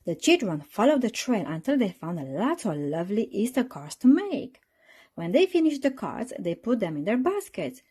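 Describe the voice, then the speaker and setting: A woman reading a children's storybook aloud in an expressive narrating style. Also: fairly high pitch, normal speed, breathy edge. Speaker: storybook reader.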